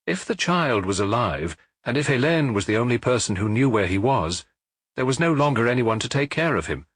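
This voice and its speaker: A man voicing a weary older police detective who questions calmly and firmly, with measured compassion. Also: mid-range voice, dry recording. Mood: probing.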